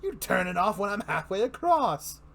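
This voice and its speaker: A man's voice: sits high, very animated, creaky, a bit bright, voice pushed up, heavily acted. A man performing a manic, mocking clown supervillain in a theatrical comic-book dramatic reading, prone to giggles. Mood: amused, manic. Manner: theatrical, drawn-out, swooping, breaking into giggles.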